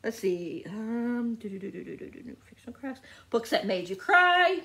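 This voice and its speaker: A woman with a fairly high voice talks in a casual, chatty vlog style. She gushes as she goes, doubling up words for emphasis and speaking straight to her viewers. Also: very animated, normal speed, sharp tone. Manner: gushing, repeats words for emphasis. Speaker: book vlogger.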